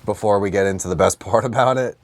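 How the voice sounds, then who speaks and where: Male co-host, lowish pitch, sardonic and profane, casual joking banter about films. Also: quick pace. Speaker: podcast co-host. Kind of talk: casual, joking movie chat.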